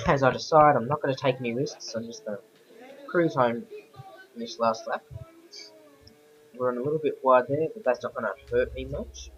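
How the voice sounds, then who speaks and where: A man with a croaky voice from a sore throat, giving rambling, self-correcting casual commentary over racing game footage. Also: medium pitch, measured pace, long pauses, fairly bright tone. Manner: rambling, keeps correcting himself. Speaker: gameplay commentator. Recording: dull lo-fi voice-over with game music behind.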